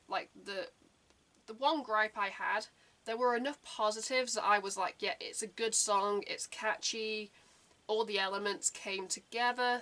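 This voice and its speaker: Young woman, bright voice, casual reaction chat, rambling with fillers. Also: medium pitch. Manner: rambling, chatty, many fillers. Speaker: reaction channel host.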